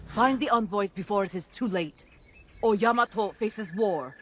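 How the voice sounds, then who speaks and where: An actress playing a commanding samurai clan noblewoman in a video game, speaking in an imperious, measured, formal way. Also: mid-range voice, heavy background noise, natural voice. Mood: stern, composed. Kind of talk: video game cutscene acting.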